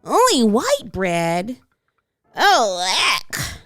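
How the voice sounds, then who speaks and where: A woman's voice: a little high, huge pitch swings, drawn-out words, fairly bright tone, dry recording, clean studio sound, soft background music, full character voice. A woman voicing a tiny, eager little girl who makes polite but bossy demands in a children's storybook. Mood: eager, insistent. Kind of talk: children's storybook read-aloud.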